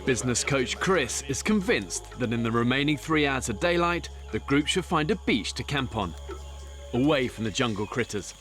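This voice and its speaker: A man giving scripted documentary narration in a measured, informative way. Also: mid-range voice, animated, fairly bright tone. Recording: voice-over with music underneath.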